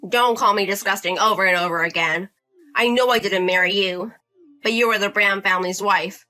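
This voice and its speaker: A woman's voice: mid-range voice, normal speed, pushed and bright, soft background music, lightly acted, low-quality recording. A woman voicing a bullying, condescending man. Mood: contemptuous. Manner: demanding, condescending, scolding. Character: entitled bullying older brother-in-law, man in his 30s.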